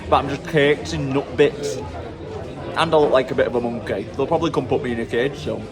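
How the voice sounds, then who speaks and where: A man's voice: medium pitch, brisk, a crowd in the background. A man talking casually to camera as a travel vlogger, chatty and rambling with self-mocking humor.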